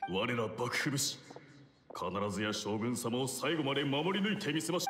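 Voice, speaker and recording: A man's voice: mid-range voice, normal speed, roomy sound, background noise, close to an everyday voice. A man voicing a fiercely loyal samurai soldier in a video game, speaking formally with solemn, martial earnestness. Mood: resolute, solemn. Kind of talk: Japanese anime-style game voice acting.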